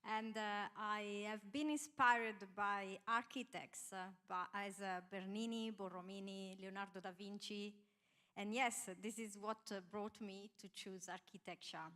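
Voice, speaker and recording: Italian woman, thirties, Italian accent, medium pitch, halting delivery with frequent fillers. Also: steady tone, sharp tone. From Italy. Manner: hesitant, many filler sounds. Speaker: panelist, architect. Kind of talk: self-introduction on a panel.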